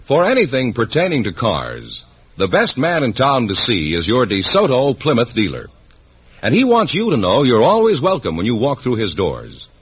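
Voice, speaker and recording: A man's voice: medium pitch, huge pitch swings, normal speed, breathy edge. An American man reading a scripted 1950s radio advertisement. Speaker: commercial announcer. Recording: muffled old radio recording.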